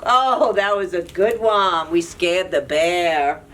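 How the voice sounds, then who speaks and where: A woman reading picture books aloud to young children, with an animated, playful, questioning delivery. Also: lowish voice, slow pace. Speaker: children's librarian and storyteller. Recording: distant mic, audible background noise.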